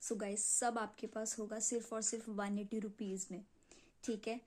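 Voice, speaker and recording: Young north indian lady doing a casual vlog chat, soft mellow tone, pretty flat pitch, informal. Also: normal speed. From Punjab, India. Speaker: video creator selling clay. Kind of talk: casual chat to subscribers, Hindi mixed with English.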